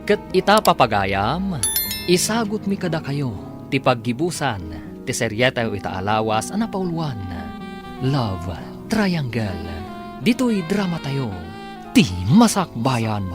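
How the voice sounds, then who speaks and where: A man's voice: medium pitch, sing-song, takes it slowly, music in the background. A man announcing a radio drama in an emphatic, scripted style, drawing out titles.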